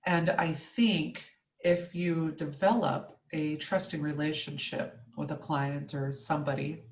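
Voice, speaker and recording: Deep-voiced woman host, echoey and distant. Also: fairly even intonation, measured pace. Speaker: interview host and practitioner. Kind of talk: video call interview.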